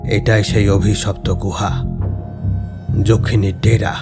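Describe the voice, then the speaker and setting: Low guy reading a scary story, suspenseful and deliberate, music under him. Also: level tone. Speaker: horror story narrator. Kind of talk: dramatic scripted narration.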